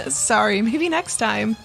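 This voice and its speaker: A woman's voice: fairly high pitch, expressive, slow pace, vocal fry, fairly bright tone, faint music behind, exaggerated voice. A woman playing a tough, unimpressed brawler with a dry, casual manner in a fantasy tabletop radio drama. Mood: unfazed.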